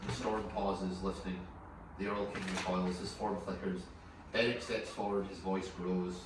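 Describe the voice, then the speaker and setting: A distant, heavily echoing recording with clear noise behind a man narrating screen directions. The voice is low, reading a film script in dramatic fashion. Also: fairly even intonation, normal speed. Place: echoey room at a group table read. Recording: very echoey, distant, noisy room.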